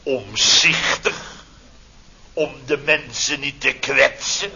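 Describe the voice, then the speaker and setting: Sharp, blustering pompous boss. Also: male voice, mid-range voice, vocal fry, exaggerated voice. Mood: pompous reproach. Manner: pompous, theatrical, indignant, drawn-out emphasis. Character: blustering, pompous male boss of a building firm. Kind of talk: radio comedy acting. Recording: dull old radio recording, faint noise.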